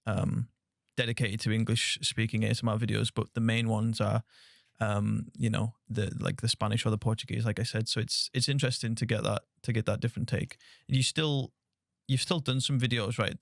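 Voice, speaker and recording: Male podcast host, soft-spoken, easygoing, fry in a lowish voice. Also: normal speed, no room sound, studio quality. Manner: relaxed, chatty, laughs easily. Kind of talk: soft-spoken interview chat.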